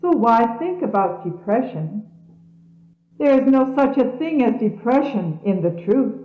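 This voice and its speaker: A woman reading religious messages like a sermon, solemn, measured and declamatory, in a 1970s archive recording. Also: fairly high pitch, very animated, roomy sound, lo-fi sound. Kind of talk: scripted scripture reading, sermon-like.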